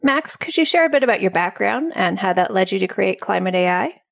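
A woman hosting a podcast, reading a scripted introduction and asking her guest questions in a measured way. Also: mid-range voice, animated, creaky. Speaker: podcast host.

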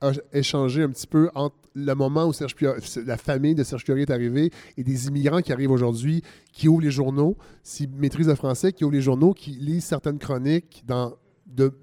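A man's voice: medium pitch, fairly even intonation, dark tone. A man moderating a live panel, interjecting and handing the floor to guests. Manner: quick, interjecting, passes the floor.

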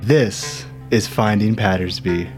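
A man's voice: on the low side, slow, over music. A man playing a wry, aggrieved investigator, narrating an audio drama thriller with sardonic, dramatic emphasis. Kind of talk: scripted first-person thriller narration.

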